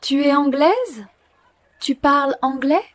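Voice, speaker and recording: High-voiced woman in a lesson dialogue. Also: lively intonation, slow. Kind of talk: scripted dialogue.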